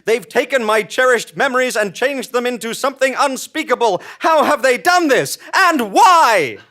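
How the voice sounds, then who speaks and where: A man's voice: extremely high pitch, animated, moderate pace, sharp tone, pitched above the performer's own voice, exaggerated voice. A man voicing a horrified, anguished podcast listener in a melodramatic, desperate testimonial.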